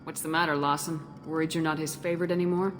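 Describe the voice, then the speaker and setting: A woman's voice: flat delivery, fast talker, natural voice. An actress playing a tough, wary female military commander in a video game, blunt, direct and challenging. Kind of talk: video game dialogue acting. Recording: reverberant, noisy game audio with rumble.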